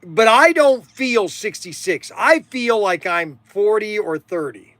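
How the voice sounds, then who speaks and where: A man in his sixties chats casually as the host of a livestream. His voice sits a little high for a man and he takes his time, speaking slowly. He rambles in a halting way, circling back over phrases and stumbling on words. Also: expressive, fairly bright tone, no echo. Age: 60s. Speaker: livestream host selling hobby toys and model cars.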